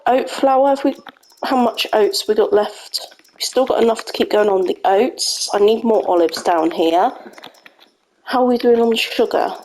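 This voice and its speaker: Woman, gaming host, croaky, casual running commentary, noisy home mic. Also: on the high side, measured pace, darkish tone. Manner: chatty, talks to herself while playing. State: slightly croaky voice. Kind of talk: casual let's-play commentary. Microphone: home desk microphone.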